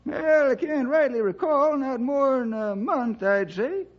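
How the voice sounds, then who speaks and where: High-pitched folksy old Western cowboy. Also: male voice, lively intonation, a little slow, exaggerated voice. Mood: puzzled. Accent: American rural Western. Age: older. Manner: folksy, drawling dialect speech. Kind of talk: 1960s radio drama acting. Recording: dull vintage radio recording.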